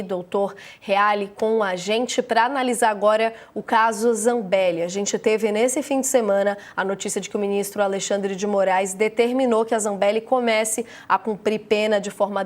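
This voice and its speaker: A Brazilian woman hosting a live news program, summarizing the story and posing questions to a guest in a conversational way. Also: medium pitch. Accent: Brazilian Portuguese. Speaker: news host.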